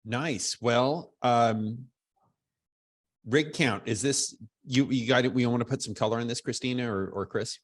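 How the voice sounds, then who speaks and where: A lawyer hosting a webinar, introducing guests in a chatty, self-deprecating way over a video call. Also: male voice, medium pitch, measured pace, no echo, studio quality.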